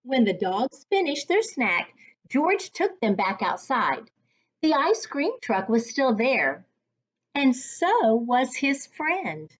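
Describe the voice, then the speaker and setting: A woman reading a children's storybook aloud in an expressive, deliberate storytelling manner, as a reading coach would for young children. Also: sits high.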